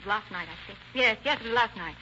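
A woman's voice: mid-range voice, fairly quick, pushed and bright, lightly acted. A woman playing a stubborn, defensive murder suspect in a radio crime drama, evasive and insistent. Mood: nervous, defensive. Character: defensive, stubborn female murder suspect shielding her lover. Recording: dull, noisy old radio recording.

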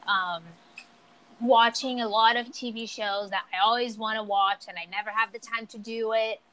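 Young woman, native Portuguese speaker with a light Brazilian accent, slightly high voice, casual rambling chat. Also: fairly even intonation, moderate pace, a bit bright, poor audio. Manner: chatty, lots of fillers like "like" and "um".

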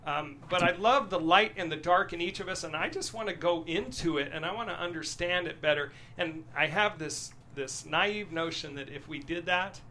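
A man's voice: fairly high pitch, normal speed, hardly any pauses, bright, forceful tone, a little hiss or hum. A man speaking as a screenwriter guest, talking candidly and passionately about his writing in a rambling way, often checking that listeners agree.

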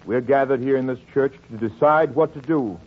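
A man's voice: medium pitch, moderate pace, lightly acted. An actor playing an earnest, businesslike chairman of a community meeting in a 1940s radio drama. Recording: noisy, phone-like 1940s radio recording.

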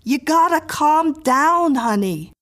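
A woman's voice: high-pitched, animated, slow pace, voice pushed up. A woman voicing a brassy young woman in an audiobook, bossy but consoling, with blunt, slangy talk. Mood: soothing, brisk. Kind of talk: audiobook character acting.

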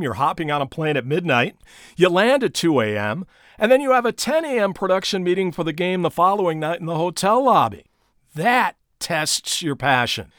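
A man's voice: a little high, fairly bright tone, no room sound. Man hosting a podcast, dry close sound. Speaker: podcast host. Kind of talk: podcast monologue and interviewing.

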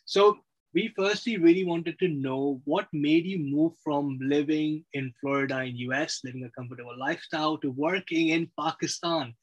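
A man's voice: a little high, a bit bright. A man co-hosting an interview, asking questions in a rambling, hesitant way. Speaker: co-host. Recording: dull lo-fi audio.

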